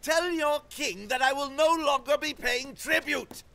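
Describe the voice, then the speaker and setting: A man voicing a cruel, sneering king who shouts threats in a storybook tale. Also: high voice, very animated, measured pace, husky, pitched above the performer's own voice, full character voice. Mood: spiteful. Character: sneering cruel male king, raving and boastful.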